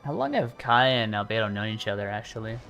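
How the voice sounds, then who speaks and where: A man's voice: lowish voice, very animated, fast, sharp tone, highs cut off. A man giving informal live commentary as a video game streamer, reacting aloud and repeating himself. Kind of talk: casual live gameplay commentary. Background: video game music.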